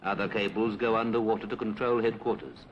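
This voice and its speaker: A British man reads a scripted 1950s public information film narration in a formal, measured, clipped manner. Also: fairly low pitch, steady tone, dull audio, a little hiss or hum. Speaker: documentary narrator. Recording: 1950s film soundtrack with music.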